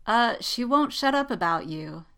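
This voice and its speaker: A woman's voice: on the high side, lively intonation, a little slow, a bit bright, smooth, voice pushed up. A woman reading the part of a teasing, gleeful male pilot in a story, sardonic and needling. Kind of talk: dramatic fan fiction reading.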